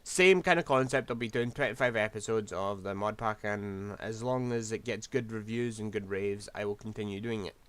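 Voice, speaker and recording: A man's voice: lowish voice, normal speed, few pauses, a bit bright, clean tone, no room sound. A man, rambling through casual gameplay commentary while losing his voice. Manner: rambling, scatterbrained, self-deprecating. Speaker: game streamer.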